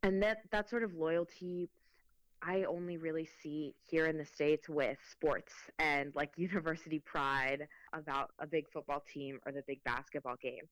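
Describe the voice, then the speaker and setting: Young mexican woman reporter, low smooth voice, dry close sound, lots of ums and likes. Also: steady tone, moderate pace, no room sound, low-quality recording. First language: Spanish. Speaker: reporter, interview guest. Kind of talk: casual podcast interview.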